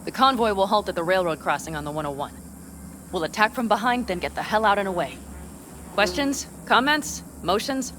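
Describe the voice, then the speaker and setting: A woman playing a tough, determined young nomad leader in a video game, speaking in a brisk, blunt way. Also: medium pitch, animated, fairly bright tone, slight background noise, natural voice. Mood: commanding.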